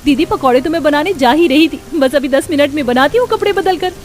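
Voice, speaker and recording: A woman's voice: sits high, fairly quick, pitched above the performer's own voice, natural voice. A woman voicing a sweet, eager, dutiful young new bride in a cartoon story. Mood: meek.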